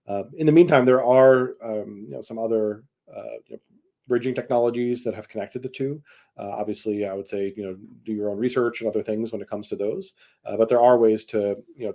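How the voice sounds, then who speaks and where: A man giving casual, explanatory interview answers as a tech executive, speaking in a halting, rambling way on a video call. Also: medium pitch, warm tone. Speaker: tech company chief executive, guest.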